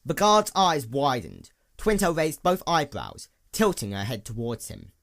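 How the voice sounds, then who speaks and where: A man narrating scripted fiction as an audiobook, with a dramatic, deliberate delivery. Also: medium pitch, big pitch swings, vocal fry, fairly bright tone.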